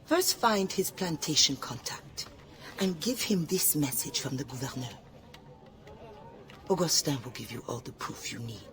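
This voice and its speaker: An actress playing a sly, flirtatious woman who brokers secrets, speaking slowly and teasingly with a French flavor. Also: lowish voice. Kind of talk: video game cutscene acting. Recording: game cutscene with music behind.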